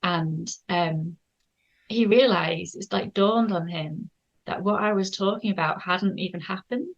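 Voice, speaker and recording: Gentle, hesitant woman. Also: fairly low pitch, measured pace, dull audio. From North Yorkshire, England. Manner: shy, gentle, hesitant with many ums. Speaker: guest artist. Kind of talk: casual interview chat.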